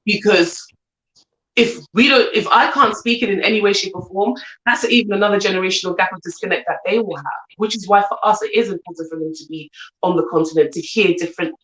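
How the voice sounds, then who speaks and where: An earnest woman in her thirties speaks with a London accent. Her voice sits at a middle pitch and echoes around the room she is in. She talks in a loose, chatty way, full of "like" and "do you see what I'm saying". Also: quick pace, fairly bright tone. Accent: London English. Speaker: guest, coach and entrepreneur. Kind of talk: casual chat.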